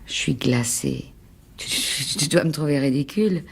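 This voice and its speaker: An actress playing a frightened, fragile and insistent wife in a French radio drama, speaking in halting, pleading repetitions. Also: female voice, deep voice, gravelly, slightly rough, exaggerated voice. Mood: anxious, insistent. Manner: halting, pleading, repeats words.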